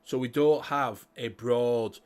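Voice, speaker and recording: A man's voice: mid-range voice, takes it slowly, clean tone. A man with a Leeds Yorkshire accent hosting a casual livestream chat, rambling and swearing and repeating himself. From Leeds, England. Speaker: host.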